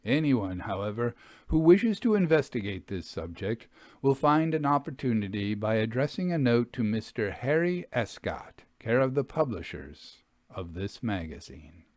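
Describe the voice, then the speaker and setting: A man voicing a confident, confiding Victorian gentleman who investigates ghosts, speaking formally and deliberately in a storybook reading. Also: mid-range voice, very animated, dry recording, clean studio sound, lightly acted. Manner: formal, deliberate, addressing the reader.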